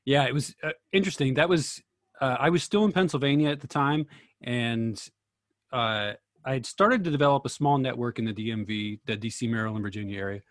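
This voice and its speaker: A man's voice: mid-range voice, fairly quick, dry recording, clean studio sound. A man speaking casually in a group discussion, as a host and actor telling stories.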